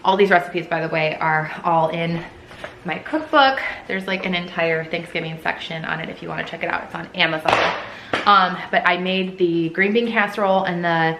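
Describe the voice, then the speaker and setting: A woman's voice: lowish voice, moderate pace. A woman vlogging casually while she cooks in her home kitchen, chatting with lots of fillers. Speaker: homemaking vlogger. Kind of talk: casual cooking vlog chat. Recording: echoey kitchen, faint room noise.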